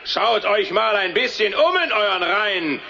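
A boastful giant warrior with a very high, sharp voice. He challenges and sneers in radio-drama style, on a slightly muffled recording. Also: animated, normal speed, vocal fry, slight background noise, heavily acted. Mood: mocking, challenging. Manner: mocking, challenging, with scornful laughter. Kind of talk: radio play acting.

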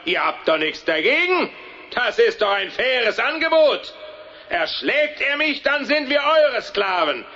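A boastful giant warrior with a very high, sharp voice. He challenges and sneers in radio-drama style, on a slightly muffled recording. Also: very animated, measured pace, gravelly, full character voice. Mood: mocking, challenging. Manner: mocking, challenging, with scornful laughter. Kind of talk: radio play acting.

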